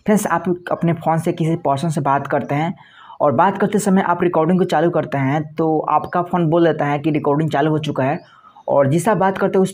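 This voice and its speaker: A man's voice: fairly high pitch, darkish tone. A man presenting a step-by-step phone tutorial in a repetitive, instructional manner. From India.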